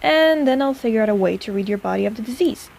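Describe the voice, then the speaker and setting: A woman's voice: on the high side, animated, fast, creaky, darkish tone. A woman playing a snarky but caring apothecary in an intimate fantasy audio roleplay, chatty and teasing in a casual way. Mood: focused, teasing.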